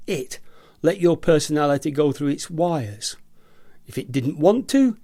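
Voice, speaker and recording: Man acting a theatrical, high-pitched agitated male prisoner. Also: mellow. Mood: brooding. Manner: theatrical, emphatic, ranting with repetition. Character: jaded, agitated male prisoner who smashes talking machines. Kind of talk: amateur radio play acting.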